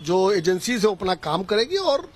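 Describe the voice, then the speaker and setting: Male spokesman, high and husky, formal press statement. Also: very animated, normal speed.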